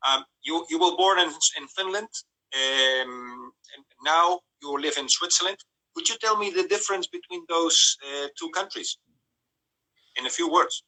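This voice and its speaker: Sharp, forceful male interviewer, halting delivery. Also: a little high, poor audio. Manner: halting, searching for words. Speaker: interviewer hosting a live stream. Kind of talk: casual live interview. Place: remote live video call.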